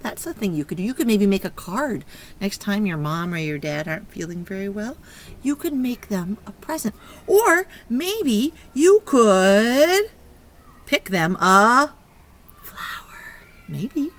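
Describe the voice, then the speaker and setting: A woman teacher reading a picture book aloud to young children, stopping to ask questions and encourage them in an expressive way. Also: medium pitch, takes it slowly. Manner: encouraging, praising child listeners; expressive, exclaiming and prompting. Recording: clear background noise.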